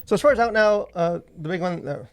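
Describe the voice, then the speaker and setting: A man's voice: high-pitched, fast talker, creaky, no room sound. A man co-hosting a podcast, chatting casually about pop culture in an informal, rambling, filler-heavy way. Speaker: podcast host.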